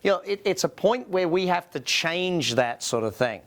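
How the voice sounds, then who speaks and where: Man, Australian accent, slightly high voice, business coach, motivational seminar delivery, emphatic, rhetorical questions. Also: animated, normal speed, a bit bright. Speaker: business coach and company founder, presenting. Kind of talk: motivational seminar presentation to an audience.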